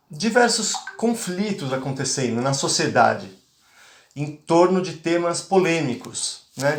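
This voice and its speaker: A man's voice: medium pitch, roomy sound. A man from Bahia giving a talk to teachers as a conflict mediator, speaking with frequent fillers and restarts. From Bahia, Brazil. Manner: hesitant, many fillers and restarts. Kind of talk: online lecture to teachers.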